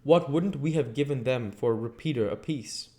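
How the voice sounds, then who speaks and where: A man's voice: mid-range voice, moderate pace, dark tone, voice pushed up, close to an everyday voice. A man voicing an eager, practical hunter in an audiobook, with a keen and forthright way of talking. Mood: keen, confident. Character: eager male hunter, practical, fond of guns. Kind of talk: dramatic audiobook character reading.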